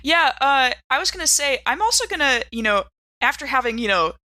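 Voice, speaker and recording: Bright-voiced woman, playful gamer. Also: sits high, sing-song, brisk, no room sound. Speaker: player in a tabletop game. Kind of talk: casual improvised tabletop game talk. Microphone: close studio microphone.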